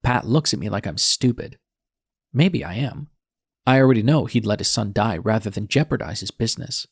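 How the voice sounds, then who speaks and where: A man's voice: lowish voice, lively intonation, normal speed, creaky, breathy edge, no room sound, studio quality, heavily acted. A man narrating an audiobook in the first person as a defiant, bitter young male nurse, with a sardonic, edgy manner. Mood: wry, bitter.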